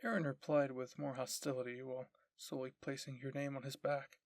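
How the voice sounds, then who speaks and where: Guy narrating a scripted story, lots of vocal fry, super dry sound. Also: medium pitch, little pitch movement, fairly quick, dry recording.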